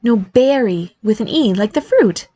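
An upbeat fairy mother from a storybook , as a woman reader puts her on, earnest and firm with her children. She coaxes and gently scolds in a raised pitch, recorded dry and close. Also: a little slow, breathy edge, no room sound, lo-fi sound. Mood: cheerful, coaxing. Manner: excited, coaxing, gently scolding. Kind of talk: storybook character voice.

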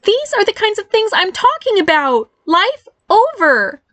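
A woman's voice: very high-pitched, sing-song, a little slow, fairly bright tone, no echo, pitched above the performer's own voice, heavily acted. A woman voicing an exasperated teenage boy who is an unwilling fairy godmother, complaining dramatically in a storybook. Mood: exasperated outburst. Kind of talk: storybook character voice.

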